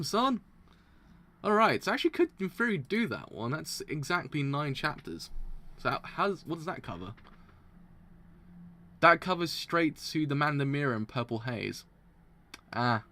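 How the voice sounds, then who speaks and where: A man streaming a live read-through of comics, stumbling over words, restarting lines, and breaking into excited laughter, his throat sounding strained. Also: on the high side, normal speed, long pauses. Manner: excitable, stumbles and restarts while reading aloud, bursts into laughter. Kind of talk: live reading and reaction.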